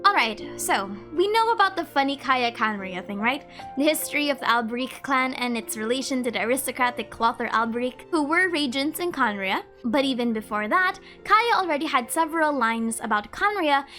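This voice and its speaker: High-voiced woman narrating game trivia. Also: animated, fairly quick, hardly any pauses, sharp tone, faint music behind. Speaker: host of a game trivia video. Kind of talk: scripted narration with casual asides.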